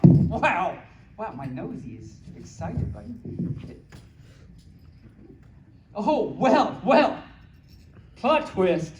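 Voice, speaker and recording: A playful storyteller reading picture books aloud to a small audience, with childlike, cutesy phrasing and excited asides. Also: mid-range voice, very slow, long pauses, crowd noise. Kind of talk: playful live story time with ad-libbed asides. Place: small room at a convention. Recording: echoey room from far off, faint noise.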